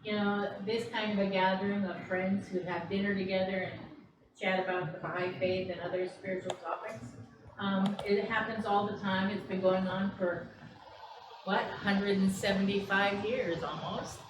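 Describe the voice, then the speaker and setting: A woman's voice: mid-range voice, level tone, moderate pace, background noise. A woman from Wisconsin, hosting a home gathering and chatting casually with fillers. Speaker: host. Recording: echoey room from far off with gathered people.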